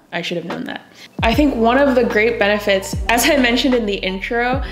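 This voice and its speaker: A woman hosting her language channel. She reviews a course in a casual, upbeat way and reads out a tutor's corrections with her own remarks. Her first language is Cantonese. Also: medium pitch. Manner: upbeat, reads corrections aloud and comments on them.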